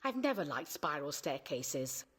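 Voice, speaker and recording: An actress playing a sensible, sharp-tongued middle-aged wife and mother in a radio play, brisk and chiding. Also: deep voice, very animated, breathy edge, full character voice. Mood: exasperated, firm.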